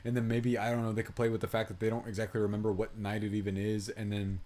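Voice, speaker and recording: A man's voice: on the low side, level tone, fast talker, a little mellow. A man co-hosting a film review podcast in a casual, slangy chat, telling things animatedly and quoting reactions in asides.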